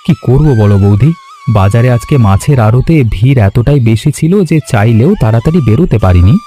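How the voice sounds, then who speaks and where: A man voicing an eager, chatty young village delivery man with a coaxing manner in an animated story. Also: medium pitch, fairly quick, faint music behind, natural voice.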